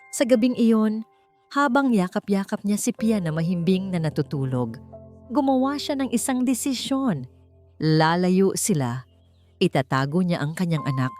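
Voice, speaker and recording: A woman's voice: low voice, a little mellow. A woman reading a scripted dramatic story as its narrator.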